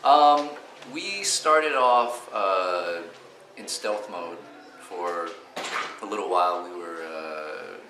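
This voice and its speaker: A man's voice: deep voice, expressive, slow, background noise. A man in his thirties, born in Cambodia, answering interview questions about his startup in a casual, hesitant way with many fillers and restarts. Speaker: startup CEO and co-founder. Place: room, interview with a friend. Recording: echoey, far from the mic, music behind.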